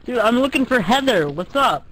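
A man's voice: very high-pitched, very animated, moderate pace, sharp tone, low-quality recording. A young man chatting casually and crudely on prank phone calls, with a slangy, teasing, profane manner. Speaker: prank caller.

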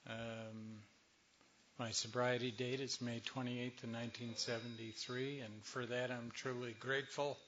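An older man, a little hoarse, giving a slow, reflective talk to a recovery meeting with many pauses. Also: medium pitch, little pitch movement, muffled recording, noisy background. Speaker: speaker at a recovery workshop.

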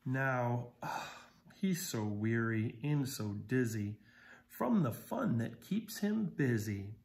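A man's voice: mid-range voice, animated, takes it slowly, creaky, a little mellow. A middle-aged American man reading a picture book aloud, expressively, with simple explanations for young children. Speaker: volunteer story reader. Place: small living room, indoors on a sofa.

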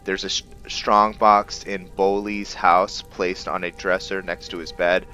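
A man with a low, bright voice commentates a roleplay video game. He talks slowly and muses with frequent pauses. Game music plays faintly behind him. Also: little pitch movement, smooth, lo-fi sound. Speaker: gameplay video host. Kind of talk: roleplay game commentary with some reading aloud.

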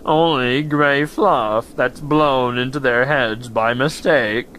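A man's voice: medium pitch, fairly bright tone, a little hiss or hum. A man playing a gloomy, self-pitying donkey in an audio drama, with mournful, understated complaints. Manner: slow, mournful, understated, long-suffering complaints.

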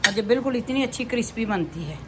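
A woman's voice: on the high side, lively intonation, fast. A woman giving a casual step-by-step cooking demonstration in a home kitchen, often repeating her phrases as she shows each step. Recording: noisy home kitchen recording.

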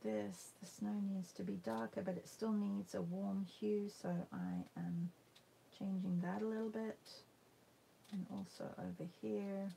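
A woman giving a casual painting demo, thinking aloud in a halting, musing way and murmuring to herself as she works. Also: medium pitch, fairly even intonation, vocal fry, dark tone, slight background noise, poor audio. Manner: halting, musing, murmurs to self while working. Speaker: art demonstrator. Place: indoors at a painting table.

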